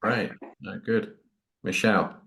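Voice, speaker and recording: A man with a very low voice hosts a group session. He chairs it informally, inviting people to speak in turn. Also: slow, vocal fry, sharp tone. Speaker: course host.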